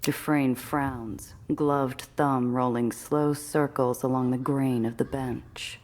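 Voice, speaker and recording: Female smuggler, very low pitch, hard-boiled, wry, guarded, noir game narration. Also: steady tone, slow, a little mellow. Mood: wary, brooding. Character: tough, guarded woman smuggler narrating her own story.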